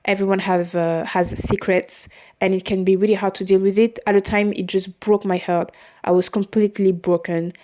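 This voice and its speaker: Woman in her twenties, podcast host, non-native English speaker, halting, self-correcting, confessional. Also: medium pitch, flat delivery, warm tone, slight background noise. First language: not English. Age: 20s. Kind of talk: personal confessional monologue.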